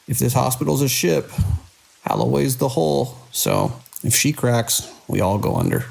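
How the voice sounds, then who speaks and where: A man playing a weary, dedicated hospital director in an audio drama, speaking in a measured, grave way. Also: mid-range voice, wildly swooping pitch, creaky, a little mellow, heavily acted, poor audio. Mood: grave, concerned. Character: weary, dedicated male hospital director under mounting strain. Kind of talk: audio drama acting, recorded log entries and phone call.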